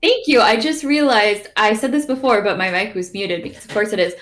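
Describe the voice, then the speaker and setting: A woman's voice: medium pitch, a little fast, fairly bright tone. A woman introducing herself as a player in a tabletop game, chatty and self-deprecating in a casual way.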